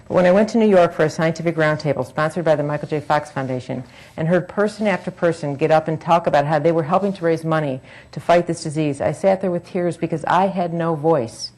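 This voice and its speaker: Low-voiced woman reading a personal talk. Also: darkish tone. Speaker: speaker at a public forum.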